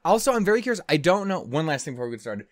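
A man in his thirties giving casual, rambling reaction commentary as a host, swearing and halting as he digresses. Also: fairly high pitch, sing-song, no echo, clean studio sound. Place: small furnished room at home, indoors. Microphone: close desk condenser microphone.

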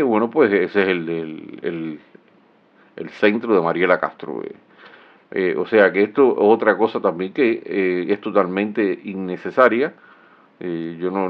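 A middle-aged man with a Cuban accent giving solo political commentary, sarcastic and indignant, with many fillers and restarts, recorded at home on a studio mic. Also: on the low side. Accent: Cuban Spanish. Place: small home room. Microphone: studio mic with headphones. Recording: dull, lo-fi sound.

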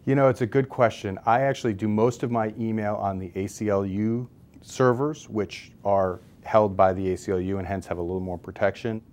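Low-voiced American man explaining as expert guest. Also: steady tone, fairly quick, a little mellow. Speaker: expert guest, civil liberties advocate. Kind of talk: explanatory interview answers. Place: newsroom studio, seated at table. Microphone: lapel.

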